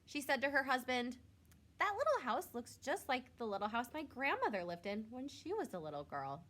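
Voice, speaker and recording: A woman reading a picture book aloud to young children, expressively, drawing out words for emphasis like a teacher. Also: sits high. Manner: expressive, with drawn-out emphasis and questions to listeners.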